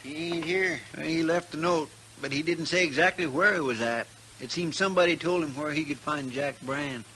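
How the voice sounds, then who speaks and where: An actor playing a loyal, naive Western deputy with a rural American drawl, homespun and deferential, in 1950s radio. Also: male voice, fairly high pitch, normal speed, pushed and bright, poor audio. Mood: concerned, eager. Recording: hissy 1950s radio drama recording.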